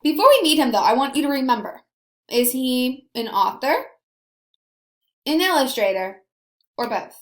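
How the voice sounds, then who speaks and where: A woman's voice: sits high, big pitch swings. A woman teacher leading a read-aloud lesson for young children, stressing key words and putting questions to her pupils. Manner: slow, deliberate emphasis with questions to pupils. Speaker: elementary school teacher. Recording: roomy, echoey sound.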